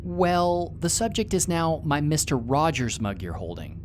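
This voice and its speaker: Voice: mid-range voice, sing-song, measured pace, soft background music. An actor playing a playful, teasing male mercenary commander with a flippant, slangy manner.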